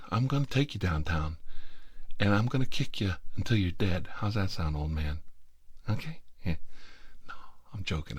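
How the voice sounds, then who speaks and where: A man's voice: deep voice, dry recording. A middle-aged man telling a rambling, humorous ASMR story with joking exasperation, acting out the dialogue as he goes.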